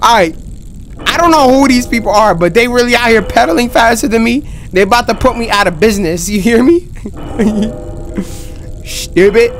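Hoarse, excitable young male streamer. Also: extremely high pitch, normal speed, soft background music. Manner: loud, excitable, trash-talking, laughing. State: hoarse, losing his voice. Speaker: gaming streamer. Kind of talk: horror game commentary. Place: home streaming setup. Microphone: headset.

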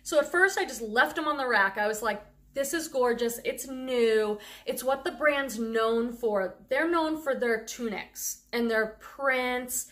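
A woman's voice: on the high side, a little slow, hardly any pauses, fairly bright tone. A middle-aged woman with a faint Boston accent, chatting enthusiastically through a thrift haul as a reselling vlogger. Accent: Boston, on a handful of words. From Boston area, USA. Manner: chatty, enthusiastic about clothing finds. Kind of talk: casual haul vlog.

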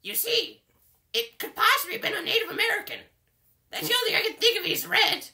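A man's voice: extremely high pitch, fast talker, vocal fry, pushed and bright, exaggerated voice. A man voicing a cranky, exasperated young hawk boss in an improvised game fandub, grumbling and dramatic. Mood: musing.

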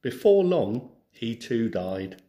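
A man reading a children's story aloud in an expressive, theatrical way. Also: medium pitch, slow pace. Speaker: storyteller, teacher.

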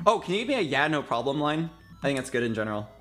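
A young man in his twenties talks casually, like a streamer coaching someone through a recording. His pitch sits in the middle of the range, and music plays softly underneath. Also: very animated, racing. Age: 20s. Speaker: streamer directing a voice recording session. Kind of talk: casual chat, giving directions. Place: indoor streaming room.

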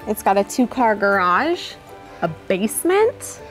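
A woman's voice: medium pitch, sing-song, a little slow. A woman in her forties giving an enthusiastic, promotional home tour to camera as a real estate agent. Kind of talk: upbeat promotional presentation to camera. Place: house porch and empty wood-panelled rooms. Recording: roomy echo, music underneath. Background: background music.

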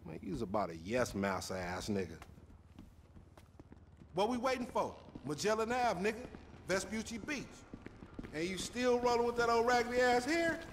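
A man's voice: high-pitched, very animated, moderate pace, pauses often. An actor playing a hot-headed young male gangster in a video game, boastful and profane, talking in slangy street speech. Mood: mocking. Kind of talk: video game cutscene acting. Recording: game sound effects and noise behind.

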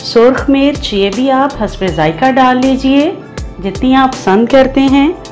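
A woman's voice: high-pitched, expressive, unhurried, darkish tone. A woman with a Pakistani Urdu accent hosting a cooking show, giving patient step-by-step recipe instructions with enthusiasm for the dish. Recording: voice-over with faint background music.

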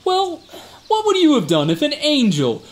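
A man's voice: extremely high pitch, huge pitch swings, moderate pace, a little hiss or hum, exaggerated voice. A man playing a boastful, evasive security guard in a comic radio drama, folksy, slangy and given to exaggeration. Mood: earnest, indignant. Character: boastful, evasive tough-guy security guard, a fibbing male cousin.

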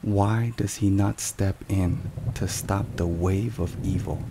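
A man with a low, creaky voice narrates a devotional in ASMR style. He speaks solemnly and very slowly, setting down each phrase with care. Rain and thunder run behind him throughout. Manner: solemn, measured, deliberate phrasing. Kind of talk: ASMR scripted narration, soft-spoken devotional talk. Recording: steady rain and thunderstorm noise.

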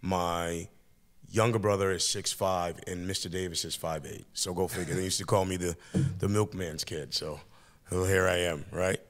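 Deep-voiced older male podcast host. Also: steady tone, moderate pace. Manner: reflective, encouraging, talks in long flowing sentences. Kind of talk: interviewing a student. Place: small podcast studio. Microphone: boom-arm studio mic.

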